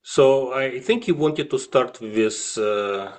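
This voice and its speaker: A man from Russia with a Russian accent, telling his story as an interview guest in a halting way with frequent pauses. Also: mid-range voice. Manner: halting, with frequent pauses and slight grammatical slips. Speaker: guest, classical violist and music professor.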